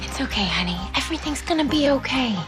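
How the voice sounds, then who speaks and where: A woman's voice: high voice, animated, fast. An actress playing a blunt, tactless mother of a teenage girl. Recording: TV clip with faint music.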